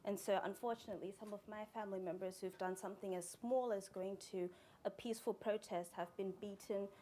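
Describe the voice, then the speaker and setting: A woman's voice: mid-range voice, steady tone, brisk, mellow, a little hiss or hum. Composed woman from Zimbabwe on a panel. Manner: composed, heartfelt. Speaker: human rights advocate, panellist. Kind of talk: panel discussion answer.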